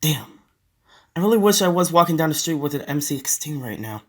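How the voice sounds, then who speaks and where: A woman's voice: sits low, expressive, a little fast. A woman playing a tough, exasperated young character on edge in an audio drama. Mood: wary, exasperated. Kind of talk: scripted audio drama dialogue.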